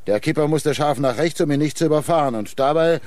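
A man's voice: fairly high pitch, fast, darkish tone, natural voice. An actor playing a matter-of-fact policeman reporting in a German radio crime drama. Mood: factual.

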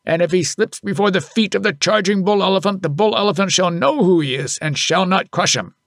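A man's voice: high voice, fairly quick, creaky, no echo, clean studio sound, pitched above the performer's own voice. A man voicing a proud veteran head elephant driver, declaiming exultantly in an audiobook story. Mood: proclaiming.

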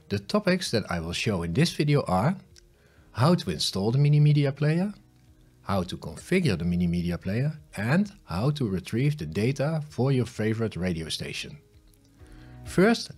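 A middle-aged man, around his fifties, presenting a scripted step-by-step software tutorial into a close studio microphone in clearly segmented, instructional phrases. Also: on the low side. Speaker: tutorial host. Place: home studio room, indoors. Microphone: close studio microphone on a boom arm.

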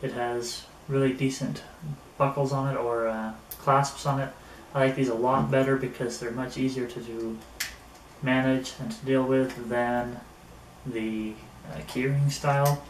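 A middle-aged man talks casually through a product review in an unhurried, mild manner. His pitch stays fairly flat. He is picked up from across a small, echoey room, with clear noise in the recording. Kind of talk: casual unboxing and gear review, talking to camera. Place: small indoor room at a table. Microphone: camera a few metres away. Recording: roomy, distant camera mic with noticeable noise.

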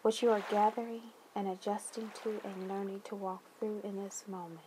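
A woman leading a guided meditation, speaking gently in deliberate phrases with long pauses and soothing affirmations. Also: medium pitch, level tone, background noise.

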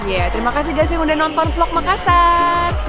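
Chatty young woman vlogger, music loud. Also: very high-pitched, lively intonation, a little fast. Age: young adult. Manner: chatty, upbeat, talks to viewers. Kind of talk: casual travel food vlog. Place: indoor food stalls and airport. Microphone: handheld camera. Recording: loud music and crowd behind.

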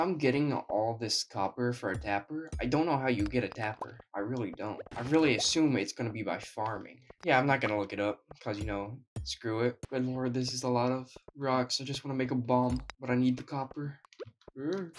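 A man's voice: medium pitch, a little hiss or hum. A young man who is sick and sounds weak, giving wry, self-mocking commentary over his gameplay. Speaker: gaming YouTuber.

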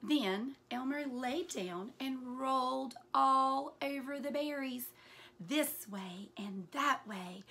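A woman with a high, bright voice reads a picture book aloud to children. She takes her time over the phrases and tells the story with plenty of expression, like a teacher. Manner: deliberate, animated storytelling with hushed asides. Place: small furnished room indoors.

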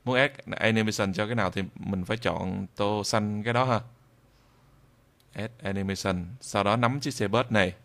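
Low, creaky-voiced man giving a tutorial. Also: a bit bright. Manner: halting, with frequent drawn-out hesitations. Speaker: software tutorial instructor. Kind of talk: informal screen-recorded tutorial narration. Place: indoors at a computer, screen recording voice-over.

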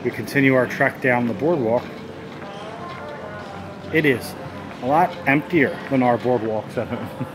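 A man's voice: medium pitch, measured pace, long pauses, music in the background. An American man in his thirties narrating a casual vlog as he goes around, recorded on a handheld camera. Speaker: vlogger. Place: out and about on a boardwalk and in restaurants. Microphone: handheld camera at arm's length. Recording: noisy handheld camera audio with ambient din.

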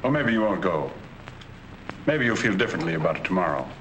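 A calm, softly spoken husband, very deep yet moving widely in pitch. Steady hiss sits under the old film dialogue. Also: male voice, fast talker, rough recording. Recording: hissy 1940s film soundtrack.

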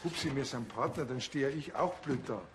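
A male actor as a small-town Bavarian policeman who nags and worries at his partner. The voice sits at a medium pitch. There is clear noise around him in this television drama. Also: moderate pace, lightly acted. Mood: dry, worried.

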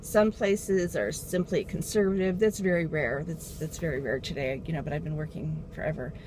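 A middle-aged woman talks to the camera from behind the wheel, rambling and circling back to what she meant to say. Her voice sits fairly low for a woman. Steady car and road noise runs under everything. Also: normal speed. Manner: rambling, chatty, loses her train of thought. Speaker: beauty vlogger. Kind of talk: casual chat. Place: inside a car while driving. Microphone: dashboard camera.

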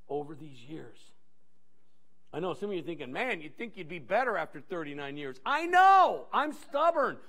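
Animated high-voiced male pastor preaching. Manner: animated, gesturing while pacing the stage, frequent restarts. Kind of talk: sermon. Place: church stage in a large dim hall.

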